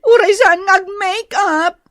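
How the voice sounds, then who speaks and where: Very shrill whiny young daughter. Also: female voice, fairly bright tone, no room sound, studio quality. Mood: complaining. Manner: wailing, whiny, drawn-out. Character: whiny, self-pitying young daughter, dramatic and insecure. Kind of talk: comedy radio drama acting in Ilocano.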